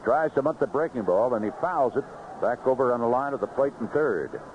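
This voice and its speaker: Animated baseball radio announcer, man, noisy vintage broadcast. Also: mid-range voice, fairly quick, phone-quality sound. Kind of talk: live play-by-play sports commentary. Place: outdoor ballpark broadcast booth.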